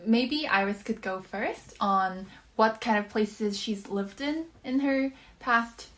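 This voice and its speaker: A woman's voice: on the high side, slow, fairly bright tone. A woman in her twenties from South Korea, co-hosting a casual podcast and keeping the talk going with friendly prompts. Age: 20s. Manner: friendly, prompts with short agreements. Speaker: podcast co-host.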